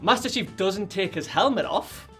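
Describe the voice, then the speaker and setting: A man in a casual group chat about games, excitable and rambling, with lots of "like" fillers. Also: high voice, normal speed, soft background music. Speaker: podcast panelist.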